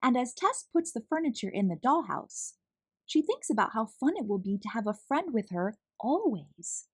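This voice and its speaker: A woman reading a children's picture book aloud as an expressive narrator. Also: fairly high pitch, normal speed, slightly rough, no room sound, studio quality. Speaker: children's story reader, channel host.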